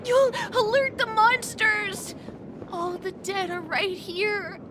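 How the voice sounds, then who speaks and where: A woman's voice: very high voice, fairly even intonation, slow pace, background noise. A woman voicing a small, panicky male game creature who wails and pleads in terror. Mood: tearful dread. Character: terrified small Pepeshi creature, convinced he is dead. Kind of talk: video game voice acting.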